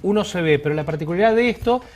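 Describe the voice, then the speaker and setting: A man's voice: high voice, animated, very fast, a little mellow. A man co-hosting a talk show, chatting casually and piecing his phrases together a little hesitantly. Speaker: television co-host. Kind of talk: casual co-host chat previewing segments.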